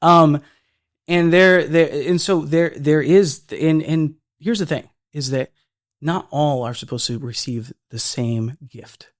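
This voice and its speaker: A man's voice: a little high, slow, dry recording, studio quality. A man who is a filmmaker, talking earnestly as a podcast guest and often restarting his words. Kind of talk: casual podcast conversation.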